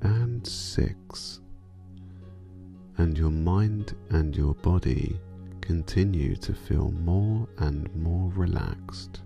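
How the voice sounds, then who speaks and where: A man guiding a sleep meditation in a soothing, hypnotic manner, drawing out his phrases and letting endings fall soft and breathy. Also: sits low, drawn-out words, soft background music. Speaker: meditation guide.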